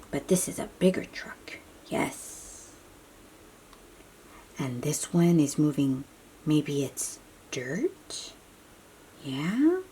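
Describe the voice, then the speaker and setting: A woman reading a picture book aloud to young children as host, putting questions to them and explaining things simply, often dropping into a breathy near-whisper. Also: sits low, dark tone.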